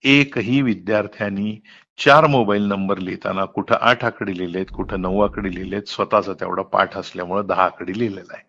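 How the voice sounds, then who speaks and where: A man's voice: sits low, steady tone, fairly quick, gravelly, a bit bright. Muffled phone call, emphatic man. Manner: emphatic, detailed, mixes in English words. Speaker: college principal. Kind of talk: earnest explanatory phone conversation.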